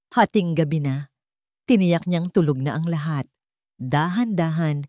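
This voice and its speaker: A woman's voice: on the low side, mellow, no echo, studio quality. A woman reading a scripted dramatic love story as its narrator.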